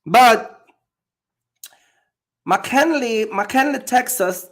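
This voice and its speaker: A man's voice: extremely high pitch, expressive, extremely slow, a bit bright. A man from Jamaica, a box truck driver chatting loosely on a livestream with many fillers and repeated words. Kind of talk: casual livestream chat.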